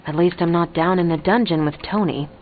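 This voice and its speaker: Gal doing a laid-back wry young heroine, really low voice, casual, muffled game audio. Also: female voice, brisk, soft background music, close to an everyday voice. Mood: wry, relaxed. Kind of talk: video game dialogue acting.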